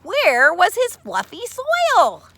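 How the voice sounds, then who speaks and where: A woman reading a children's story aloud expressively, with theatrical, deliberate phrasing and dramatic pauses. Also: extremely high pitch, wildly swooping pitch, fairly bright tone, a little hiss or hum. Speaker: storybook reader.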